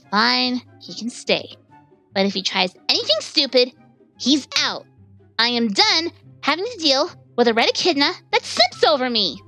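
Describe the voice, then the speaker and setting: A woman voicing a feisty, easily flustered young female cartoon hedgehog with dramatic, drawn-out delivery. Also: high voice, big pitch swings, sharp tone, exaggerated voice. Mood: irritated. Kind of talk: comic dub voice acting. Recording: music playing behind the voice.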